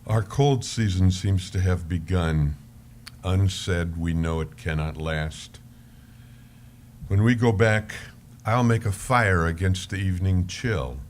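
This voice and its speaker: A man reading as an elderly husband, tender, measured and wistful, in a dramatic reading of a love letter with some background noise. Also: deep voice. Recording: live stage recording with background noise.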